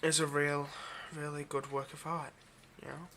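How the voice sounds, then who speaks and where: A man acting out a male vampire who works as a lab technician, in an intimate ASMR roleplay. His voice is crisp and bright with a creaky edge, and he talks in a stammering, overly courteous way that hides an obsession. A faint noise sits behind him. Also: fairly high pitch, flat delivery, normal speed. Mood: eager, flustered. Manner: hesitant, stammering, overly polite.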